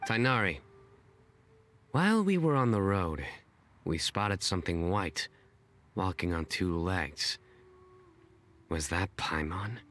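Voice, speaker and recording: An actor playing a young adventurer who tells bad puns in a dry, deadpan way in a game. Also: male voice, low voice, slow pace, pauses often, heavily acted. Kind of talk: game voice acting.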